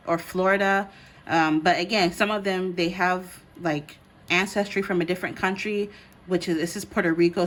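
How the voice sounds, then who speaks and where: Lower-pitched woman giving a casual card reading. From born in the United States, grew up in Ghana. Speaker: host, tarot card reader.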